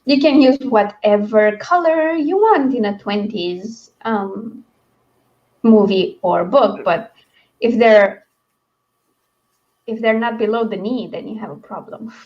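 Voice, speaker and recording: A woman's voice: medium pitch, takes it slowly, highs cut off. A woman hosting a livestream book discussion in a casual, rambling, chatty way. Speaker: host of a book read-along livestream.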